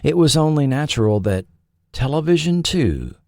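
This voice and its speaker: A man narrating a scripted documentary in a deliberate, dramatic storytelling manner. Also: a little high, big pitch swings, gravelly, dark tone, no room sound, studio quality. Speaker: host and narrator.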